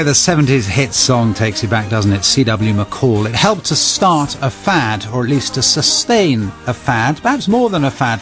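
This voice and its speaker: A man presenting a live morning radio programme, introducing a guest and interviewing him in a brisk, conversational way. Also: mid-range voice. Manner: brisk, conversational, leading questions. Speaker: radio news programme host.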